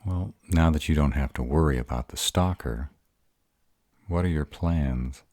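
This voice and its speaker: A man voicing a gruff, bashful were-dragon in human form, hesitant, earnest and tender in an intimate romantic audio roleplay. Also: very deep voice, a little slow, darkish tone, no echo, studio quality. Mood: earnest, caring.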